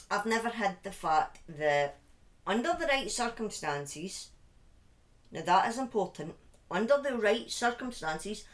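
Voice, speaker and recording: A middle-aged British woman talks to her livestream audience as a storyteller. She has a slight speech impediment and an informal manner, with dramatic pauses, at a medium pitch close to a desk microphone. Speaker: live streamer telling true crime stories. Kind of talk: casual livestream chat and storytelling. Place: small dim room indoors. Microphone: desk microphone on a boom arm, close.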